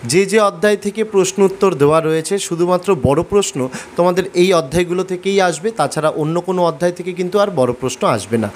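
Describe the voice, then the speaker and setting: A man with a West Bengal accent talks quickly in a slightly high voice, explaining to students like an online class host. His delivery is instructional and emphatic, often repeating points. There is faint noise behind him. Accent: Bengali from West Bengal, India. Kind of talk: explanatory tutorial narration addressed to students.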